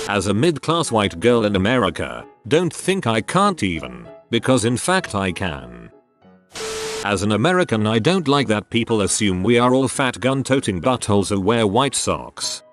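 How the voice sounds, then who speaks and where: A man narrating a series of online comments in a dry, deadpan reading style. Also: on the low side. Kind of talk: scripted narration.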